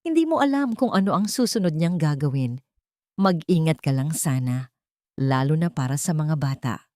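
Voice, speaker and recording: A courteous man from an audio drama, acted out by a woman, speaks with careful sympathy. The voice is soft-edged and dry, confiding as if sharing a secret warning. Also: low-pitched, lively intonation, normal speed, no echo. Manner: polite, concerned, confiding. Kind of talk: audio drama character dialogue.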